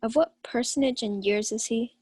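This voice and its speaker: Young woman as proud noble lady, fairly high. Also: normal speed, darkish tone, breathy edge, dry recording. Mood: imperious. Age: young adult. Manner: commanding, theatrical. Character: Olivia, proud, imperious young countess. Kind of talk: 1940s radio Shakespeare acting.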